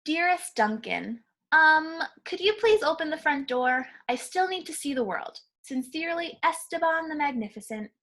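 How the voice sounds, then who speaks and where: A woman's voice: high voice, lively intonation, moderate pace, fairly bright tone, pitched above the performer's own voice. A woman voicing a grand little storybook crayon, formal and self-important. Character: grand, impatient little pea-green crayon longing to travel.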